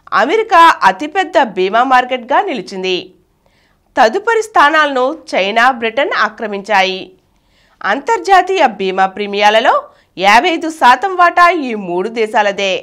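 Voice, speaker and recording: High-pitched woman reading news with lively intonation. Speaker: narrator reading an article aloud. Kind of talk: scripted narration of a news article.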